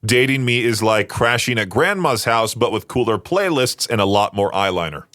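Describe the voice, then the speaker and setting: A man's voice: on the low side, animated, normal speed, fairly bright tone, no room sound. A man hosting a radio show, chatting casually and joking while reading prompts aloud. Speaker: radio host. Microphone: studio mic. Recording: dry studio sound.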